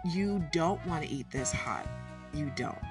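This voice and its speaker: A chatty woman talks through a home recipe in a folksy, joking way. She sits back from the microphone, so her voice sounds a little distant. Guitar music plays clearly underneath her. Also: low-pitched, lively intonation, unhurried. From Detroit, USA. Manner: chatty and folksy, with self-amused asides and corny jokes. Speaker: home cook. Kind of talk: casual cooking vlog.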